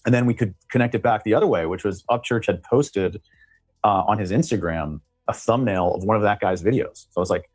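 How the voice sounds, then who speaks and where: A man's voice: on the low side, fairly quick, a little mellow, faint music behind. A man speaking as an attorney being interviewed, recounting things in a casual, explanatory way. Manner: conversational, explanatory.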